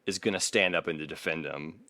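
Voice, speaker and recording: A man co-hosting a podcast, talking casually in a measured, hesitant way and sometimes reading aloud. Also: deep voice, bright, forceful tone, no echo. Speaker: podcast co-host.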